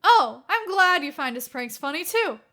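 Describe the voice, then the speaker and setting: A woman voices an earnest, friendly hero in an audio story. He sounds high, bright and cheerful. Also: sing-song, normal speed, exaggerated voice.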